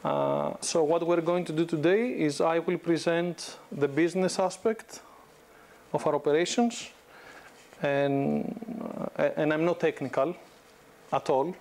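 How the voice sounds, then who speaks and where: A Greek man in his thirties speaks to a university class in a loose, conversational way, often restarting his sentences. His voice sits a little high for a man. The lapel mic keeps him close and clear in the classroom. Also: unhurried. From Greece. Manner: relaxed, conversational, frequent restarts and fillers. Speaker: guest lecturer. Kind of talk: informal business lecture.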